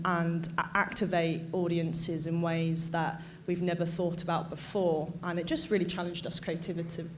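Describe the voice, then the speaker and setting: A woman with a fairly low voice talking on a conference panel. She speaks in a chatty, slightly rambling way and often restarts her sentences. A faint room ambience sits behind her. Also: a little mellow, slight background noise. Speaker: agency panelist. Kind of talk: panel discussion.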